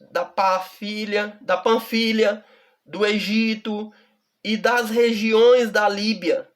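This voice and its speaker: A woman with a Brazilian accent teaching a Bible study, reading verses slowly and deliberately and often checking in with the listener. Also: medium pitch, a bit bright. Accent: Brazilian Portuguese.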